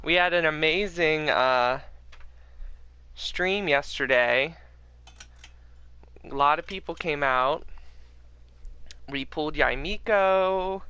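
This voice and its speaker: A man's voice: a little high, slow pace, pauses often, bright, forceful tone, clean tone. A man giving casual commentary as he streams a video game.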